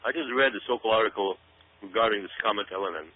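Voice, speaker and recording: A man's voice: fairly low pitch, fast talker, slightly rough. A man giving urgent, emphatic conspiracy commentary on a talk show, repeating phrases and asking rhetorical questions. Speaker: guest commentator. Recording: tinny phone-like radio audio.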